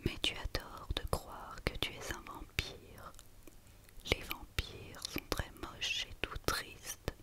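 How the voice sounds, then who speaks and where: Lady playing a sassy sweet little girl in a kids story, sure of herself, slow whisper. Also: sharp tone. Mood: assured. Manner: teasing, matter-of-fact. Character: cheeky, self-assured, kind little girl.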